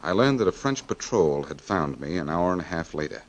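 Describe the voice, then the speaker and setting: A war correspondent narrates in the first person for a radio drama. His voice is fairly low, grave and measured, with clear rumbling noise behind it. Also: big pitch swings. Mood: grave, tense. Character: American male war correspondent recounting battle ordeal.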